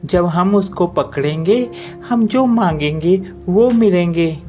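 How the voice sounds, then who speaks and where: A woman's voice: fairly low pitch, animated, slow, darkish tone, faint music behind, pitched above the performer's own voice. A woman voicing a stubborn, ambitious female fox in a cartoon story, with an insistent, coaxing manner. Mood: eager coaxing.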